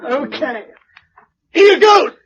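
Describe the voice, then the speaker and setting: A woman's voice: high voice, animated, extremely slow, a little hiss or hum, exaggerated voice. An actress playing a desperate, hungry blind woman who bullies harshly in a radio drama. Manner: harsh, insistent, shouting. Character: desperate, hungry blind bully threatening a child. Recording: dull lo-fi radio drama recording.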